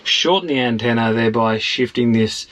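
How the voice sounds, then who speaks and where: A man working as a marine technician, giving a measured technical explanation. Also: mid-range voice, a bit bright. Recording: noisy on-location ambience.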